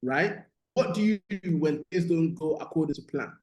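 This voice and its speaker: A man's voice: a little high, lively intonation, moderate pace, a little mellow. A man from Ghana who grew up in the UK, teaching as a motivational business coach, emphatic and repeating key phrases with frequent check-ins. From born in Ghana, moved to the UK at eight. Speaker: business mindset coach leading a course. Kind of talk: motivational teaching in a live online session. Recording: roomy, echoing sound.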